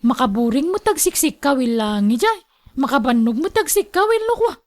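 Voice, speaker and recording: A pampered young Ilocano wife in a radio drama. Her voice is high-pitched, and she answers in a whiny, put-upon way, always protesting. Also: sing-song, moderate pace.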